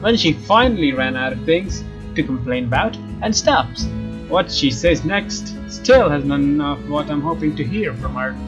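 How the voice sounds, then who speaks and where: A man narrating a visual novel as a let's player, expressive and informal, with casual asides. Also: fairly high pitch, unhurried. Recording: dull lo-fi sound, music behind. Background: game music with guitar.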